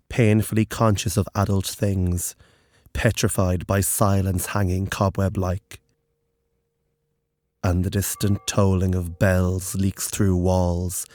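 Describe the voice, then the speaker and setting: A man reading scripted horror narration in a grave, measured, literary manner. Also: low-pitched, a little mellow, no echo, studio quality.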